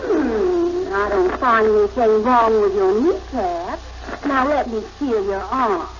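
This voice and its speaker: A flighty young heiress in an old radio comedy, cooing and fussing. Her high voice swoops widely and unhurriedly, on a very noisy vintage recording. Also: animated, slow, dull audio. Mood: cooing, solicitous. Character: gushing, flighty young heiress, sweetly solicitous. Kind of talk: 1950s radio comedy acting.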